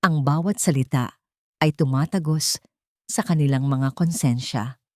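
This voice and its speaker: A woman reading a scripted dramatic story as an audiobook narrator, in a measured, solemn storytelling manner. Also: sits low, dry recording, clean studio sound.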